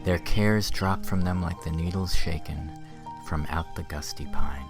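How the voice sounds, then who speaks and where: An actor playing a tender, earnest young college man in an audio drama, gentle and poetic. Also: deep voice, a little slow, music in the background.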